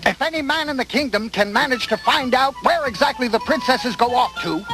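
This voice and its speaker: An actor playing a pompous, stern royal herald making loud proclamations in an animated fairy tale. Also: male voice, very high voice, a little fast, full character voice. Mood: proclaiming. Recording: loud music behind.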